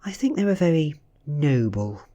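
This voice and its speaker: A British man voicing an ancient, coolly confident female vampire who poses as a student, softly and politely in an audiobook. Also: on the high side, big pitch swings, moderate pace, pitched above the performer's own voice. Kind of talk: soft-spoken audiobook character reading.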